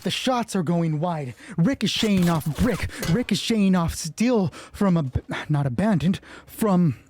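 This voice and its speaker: A man narrating a solo tabletop roleplay as game master, improvising and thinking aloud in a halting way while reading out dice. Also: high voice, expressive, darkish tone, slight background noise.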